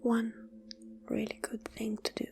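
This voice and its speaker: Very slow whispering woman, ASMR meditation. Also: on the high side, monotone. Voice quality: breathy whisper. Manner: hushed, soothing, drawn-out phrases with long pauses. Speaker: ASMR channel host and meditation guide.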